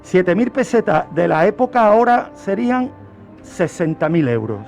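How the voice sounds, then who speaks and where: A man with an accent from Spain presenting a true-crime story on radio, phrasing it deliberately and emphatically, with repetitions. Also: high voice, very animated, vocal fry, darkish tone, soft background music. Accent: Spanish from Spain.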